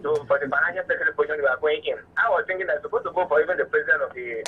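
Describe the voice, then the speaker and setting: Nigerian man, phone-in caller, high voice, rapid casual speech, narrow phone sound. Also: recorded from a distance. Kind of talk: casual call-in remarks. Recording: tinny phone line with noise.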